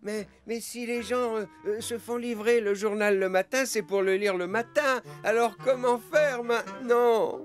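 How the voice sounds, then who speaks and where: A man's voice: extremely high pitch, unhurried, hardly any pauses, soft background music. A man voicing a good-natured, easily flustered young newspaper delivery boy in a children's cartoon.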